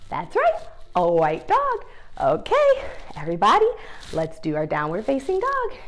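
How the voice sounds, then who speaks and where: A woman's voice: high-pitched, huge pitch swings, a little slow. A woman leading a story time ballet lesson for young children, giving encouraging instructions and sing-song questions.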